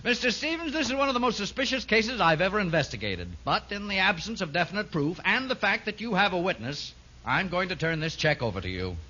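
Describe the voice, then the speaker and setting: A slightly muffled old radio recording has a suspicious insurance investigator. His voice is sharp and a little high, with formal, dryly sarcastic delivery in a comedy style. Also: sing-song, fairly quick, full character voice. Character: suspicious, dry, businesslike male insurance investigator. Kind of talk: 1950s radio situation comedy acting. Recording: muffled, faintly noisy 1950s radio recording.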